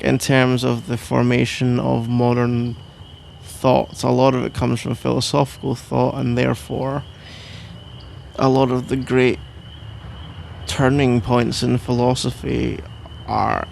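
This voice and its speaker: Man acting as drowsy affectionate husband, creaky voice, unhurried halting rambles, intimate ASMR roleplay, faint background noise. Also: medium pitch, level tone, darkish tone. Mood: musing, rambling. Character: sleepy, cuddly, persuasive husband, playfully melodramatic, fond of tangents.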